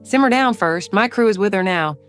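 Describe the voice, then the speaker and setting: A woman playing a gruff, steady battleship captain in an audio drama, frank and firm in manner. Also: medium pitch, fairly quick, soft background music, close to an everyday voice.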